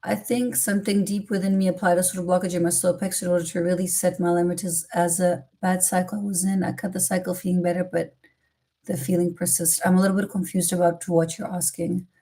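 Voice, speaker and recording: A woman's voice: on the low side, fast talker. An Italian woman with a British-schooled English accent and a slight American twang, chatting casually on a spiritual livestream and chanting flowing syllables. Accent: British-educated English with a slight American twang. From Italy. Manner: chatty and relaxed, many fillers, chants flowing syllable strings. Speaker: spiritual channeler hosting a livestream.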